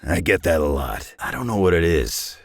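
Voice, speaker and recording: A man voicing a gruff, weary middle-aged superhero brawler for a video game, with a deep raspy growl and terse, dry, folksy tough-guy talk. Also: very animated, normal speed, dry recording, heavily acted. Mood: blunt, world-weary. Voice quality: deep gravelly rasp.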